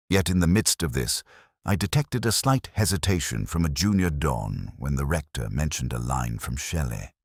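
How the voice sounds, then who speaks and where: A man reading a first-person detective story in a measured, formal, literary manner, as scripted narration. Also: a bass voice, dry recording, studio quality. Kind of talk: scripted audiobook-style mystery narration.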